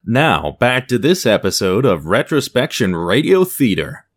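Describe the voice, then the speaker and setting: A man's voice: medium pitch, very animated, a little slow. A man delivering scripted narration and announcements for an audio drama.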